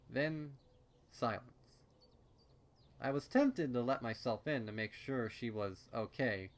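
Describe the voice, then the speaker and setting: A man plays a young mare who tends flowers and tells her own story. She is chatty and a little nervous, stammering and wandering into asides in a storybook narration at a medium pitch. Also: natural voice. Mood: wry, narrating. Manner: rambling, hesitant, stammering asides. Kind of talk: first-person storybook narration in character.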